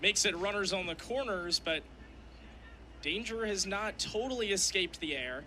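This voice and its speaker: A young man calls a game on the radio in a high, very bright and forceful voice. His phrasing is clipped and descriptive, laying out each play as it happens. A faint bed of ballgame ambience sits behind him. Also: animated, normal speed, a little hiss or hum. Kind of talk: live sports commentary.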